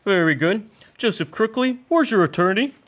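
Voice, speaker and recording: A man's voice: sits high, animated, moderate pace, a bit bright. A young man playing a stern, formal male judge who gives exasperated rulings in a comic radio play. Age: young adult.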